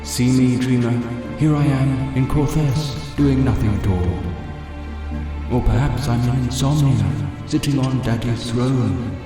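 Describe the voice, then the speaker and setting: A man playing a theatrical, taunting villain in an audio drama, with a mocking, drawn-out, mock-courteous manner. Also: mid-range voice, close to an everyday voice. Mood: taunting, sing-song. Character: taunting, theatrical male villain toying with a princess. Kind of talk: fan audio drama acting. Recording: heavily echoing, loud music underneath. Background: music.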